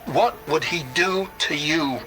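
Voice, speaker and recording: A man's voice: fairly high pitch, slow pace, over loud music. A man playing an anguished father in a game, pleading emotionally with his child. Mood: pleading, guilty. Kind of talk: dramatic game cutscene acting.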